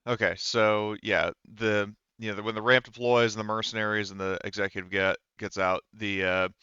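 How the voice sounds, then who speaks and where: A low, smooth-voiced man runs a tabletop roleplaying game as game master. He talks casually and haltingly, and the dry recording is slightly dull. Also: little pitch movement, sharp tone, no echo. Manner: halting, hesitant.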